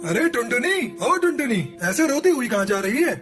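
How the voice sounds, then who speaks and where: A man's voice: very high voice, sing-song, moderate pace, over music. A man voicing a friendly male pigeon in a cartoon, reassuring and direct like an old friend. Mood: concerned.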